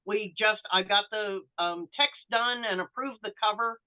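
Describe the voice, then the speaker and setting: A woman guest chatting casually about her own work, with a halting, hesitant delivery. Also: medium pitch. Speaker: guest, author. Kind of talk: casual chat. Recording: thin, phone-like call audio.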